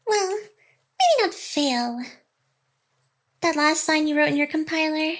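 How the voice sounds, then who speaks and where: A very high, girlish female AI companion, acted by a woman in an intimate ASMR roleplay. She teases and feigns aloofness, speaking straight to the listener with sighs, while her smitten, protective side shows through. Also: expressive, takes it slowly. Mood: teasing, feigned indignation. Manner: teasing, mock-aloof, sighing, addressing the listener directly. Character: playful, teasing female AI hacker girl, secretly smitten and protective. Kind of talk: ASMR roleplay, intimate one-sided scripted drama.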